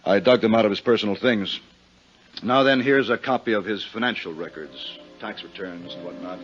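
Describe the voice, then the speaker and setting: A man with an American accent acting as a haggard, worried, soft-hearted lawyer in a 1950s radio drama, earnest and hesitant. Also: lowish voice, moderate pace, faint music behind, lightly acted. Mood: earnest, explaining. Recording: muffled vintage radio recording.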